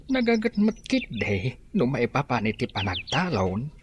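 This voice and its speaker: A man's voice: medium pitch, wildly swooping pitch, unhurried, slight background noise. An actor playing a stern, hardworking rural farmer father in a radio drama, with a scolding tone. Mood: grumbling.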